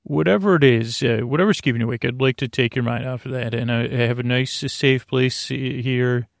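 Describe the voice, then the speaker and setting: A man with a creaky voice hosting a bedtime podcast in a soothing, meandering monologue, halting and repeating himself as he drifts into tangents. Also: medium pitch, dry recording, clean studio sound. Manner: soothing, lulling. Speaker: host of a bedtime story podcast. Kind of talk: rambling sleep-podcast monologue.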